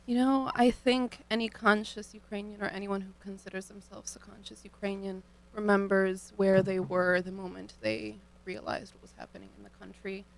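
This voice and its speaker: A young woman from Ohio, a student guest on a radio show, answering questions in an earnest, measured, thoughtful way into a studio microphone. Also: medium pitch, little pitch movement, vocal fry, low-quality recording. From Cleveland, Ohio, United States. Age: young adult.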